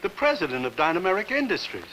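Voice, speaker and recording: Gentle high-voiced grandpa, lively and polite, faint music underneath. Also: male voice, racing, a little hiss or hum, heavily acted, rough recording. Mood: earnest. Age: older. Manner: mild, polite, wondering. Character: gentle, wistful old grandfather. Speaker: grandfather forced to retire. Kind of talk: 1950s television drama acting.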